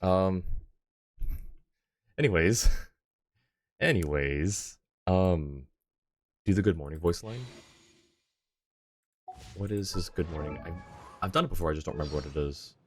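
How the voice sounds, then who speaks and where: A man's voice: sits low, animated, a little slow, long pauses, no echo. A man talking casually on a live gaming stream, rambling and thinking aloud as he goes. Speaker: game livestreamer.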